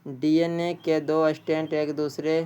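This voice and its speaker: Flat-toned man reading quiz questions, slowly. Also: a little high, smooth. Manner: repeats questions before answering. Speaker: teacher. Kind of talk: exam quiz lesson, reading questions and answers.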